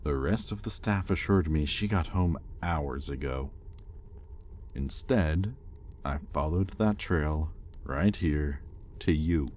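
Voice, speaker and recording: Male actor as sardonic demon master for intimate roleplay audio: extremely deep, unhurried, exasperated, grudgingly caring. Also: a little mellow, a little hiss or hum. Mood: stern, troubled. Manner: sardonic, exasperated, with pointed pauses.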